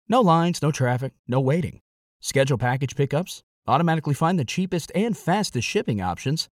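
A man's voice: on the high side, no room sound, clean studio sound. A man reading a scripted radio ad in an upbeat, punchy sales delivery. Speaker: ad announcer.

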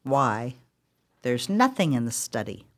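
An actress playing a bossy, jittery sister in an old ghost story for a radio play, with a scolding, dramatic manner. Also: female voice, very deep voice, big pitch swings, a little slow, heavily acted. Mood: dismissive.

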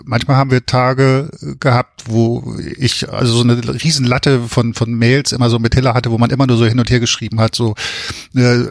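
A man's voice: mid-range voice, little pitch movement, fairly quick, hardly any pauses, dry recording, clean studio sound. A man who works as an author, talking conversationally and explaining as an interview guest, often adding tag questions.

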